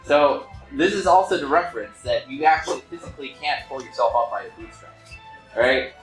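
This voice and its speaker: A man at a table reading, chatting casually and haltingly as he steps out of the script. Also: medium pitch, expressive. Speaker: table reader. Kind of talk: casual, halting aside between read scenes. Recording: echoey room with music behind.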